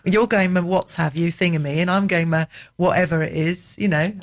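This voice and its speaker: A woman's voice: on the low side, fairly even intonation, a little fast. A woman co-hosting a radio show in a studio, rambling and gossipy in casual banter. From England. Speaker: radio co-host.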